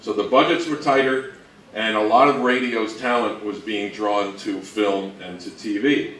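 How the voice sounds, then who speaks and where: A man presenting an informal history talk, speaking in a halting, thinking-aloud way, recorded away from the mic. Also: lowish voice, fairly even intonation, fairly bright tone, far from the mic. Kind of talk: informal lecture on old radio history. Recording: roomy, off-mic, faint noise.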